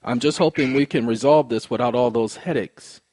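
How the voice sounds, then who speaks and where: A man's voice: medium pitch, normal speed, darkish tone, no echo. A man speaking in a formal, polite but insistent way, explaining a complaint in precise wording. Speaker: caller, presenter of own recorded phone call.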